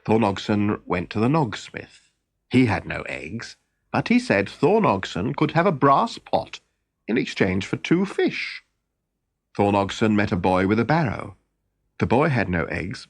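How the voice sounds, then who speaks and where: Lively male storybook narrator. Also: mid-range voice. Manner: animated, expressive storytelling. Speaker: story reader. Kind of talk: scripted children's storybook narration.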